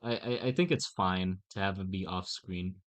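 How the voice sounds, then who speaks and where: A man chatting casually on a live stream while he draws, in a halting, self-mocking way. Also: sits low, a bit bright.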